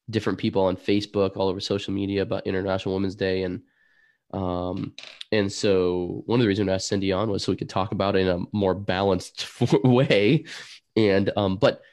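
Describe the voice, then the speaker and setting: A middle-aged man hosting a podcast live stream, talking in a halting way with many fillers. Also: lowish voice, a little mellow, dry recording. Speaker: podcast host. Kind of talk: casual live-stream chat.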